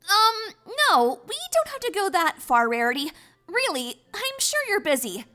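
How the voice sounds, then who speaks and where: A woman's voice: very high voice, very animated, unhurried, sharp tone, faint music behind, full character voice. A woman voicing an awkward, bookish young female pony in a dramatized story reading, hesitant and easily flustered. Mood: nervous, evasive.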